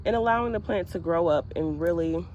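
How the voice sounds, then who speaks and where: A woman's voice: on the low side, brisk, a little mellow, lo-fi sound, slight background noise. Stuffy-voiced woman chatting about her garden. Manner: chatty, friendly, with small laughs and fillers. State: stuffy from a cold. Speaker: gardening vlogger. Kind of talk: casual how-to vlog.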